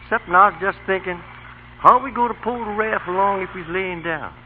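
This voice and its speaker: An actor with a Southern American accent playing a loyal, anxious, deferential servant in a radio drama. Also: male voice, sits high, fairly quick, background noise, close to an everyday voice. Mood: nervous. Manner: folksy, deferential. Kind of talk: 1930s radio drama acting. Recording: tinny old radio broadcast with loud music.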